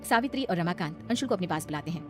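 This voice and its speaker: Fast female cartoon story narrator. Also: on the low side, lively intonation. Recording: music behind the voice.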